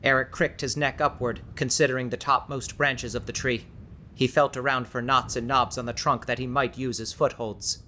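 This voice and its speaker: A man's voice: medium pitch, flat delivery, moderate pace, bright, forceful tone, a little hiss or hum. A man reading an audiobook as dramatic scripted narration.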